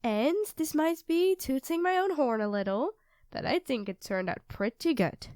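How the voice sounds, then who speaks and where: A woman plays a young girlfriend with a high-pitched voice, recorded close and dry. She is doting and playful, teasing her partner with expressive turns of phrase. It has the intimate feel of an ASMR roleplay audio drama. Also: normal speed, clean tone, no room sound. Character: affectionate, teasing young live-in girlfriend cooking dinner.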